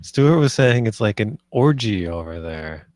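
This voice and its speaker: A man's voice: fairly low pitch, sing-song, a little slow, darkish tone, no room sound, clean studio sound. A British man reading the part of a nervous, earnest husband in a film script, with a hesitant, understated delivery. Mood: cautious. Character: nervous, earnest husband proposing swinging to his wife. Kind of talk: film script table read, naturalistic dialogue.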